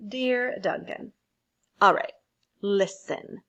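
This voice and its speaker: A woman's voice: mid-range voice, extremely slow, fairly bright tone, muffled recording, voice pushed down. A woman voicing a prim, touchy neat-freak crayon in a storybook, tense and emphatic. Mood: tense, fussy. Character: neat-freak purple crayon, touchy and near breaking point.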